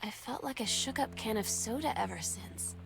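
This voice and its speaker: A woman's voice: fairly high pitch, normal speed, vocal fry. An actress playing an earnest, lovestruck teenage girl in a video game, confiding a secret romance as an intimate, hesitant diary narration. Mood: hopeful, anxious. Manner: confessional, hesitant, heartfelt. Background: music behind the voice.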